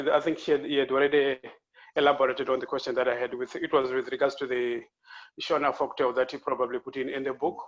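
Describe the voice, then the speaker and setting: A man's voice: medium pitch, highs cut off. A man in the audience of an online event asking a question in formal, wordy phrasing. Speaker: audience member. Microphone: video call.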